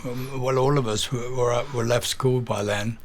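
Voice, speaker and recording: Older English man, interview guest; halting, filler-heavy storyteller. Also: mid-range voice, steady tone, a little hiss or hum. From England. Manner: halting, with many fillers and repeated words. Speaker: guest, drummer.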